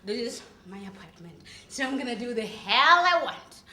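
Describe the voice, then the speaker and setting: A woman's voice: on the high side, very animated, sharp tone, voice pushed down. A woman playing a volatile, heartbroken young woman in a melodramatic acting monologue. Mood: tipsy, defiant. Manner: melodramatic, tearful outbursts and drawn-out pleading. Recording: echoey room.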